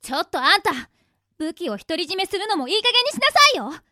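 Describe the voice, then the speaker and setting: A performer voicing a headstrong, bossy young girl with a quick temper, in a radio drama. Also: very high-pitched, big pitch swings, pushed and bright, heavily acted. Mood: angry. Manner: bossy, hot-tempered, commanding.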